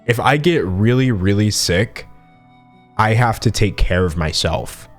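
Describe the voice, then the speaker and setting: Low-voiced, very animated young man. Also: faint music behind. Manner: self-mocking, dramatic pauses and emphasis. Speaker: online video host. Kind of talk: casual comedic commentary with sponsor read.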